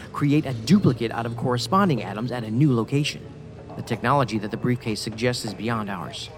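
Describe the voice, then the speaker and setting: A man's voice: medium pitch, natural voice. A man playing a stubborn, sardonic federal agent in a science fiction audio drama, speaking dryly and insistently. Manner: dry, insistent, sarcastic. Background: music.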